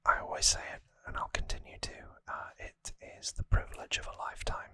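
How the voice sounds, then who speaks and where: An ASMR host speaking in a breathy, unvoiced whisper, gentle and earnest, slightly rambling to viewers, close on the microphone. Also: a little fast. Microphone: close microphone in a dry space.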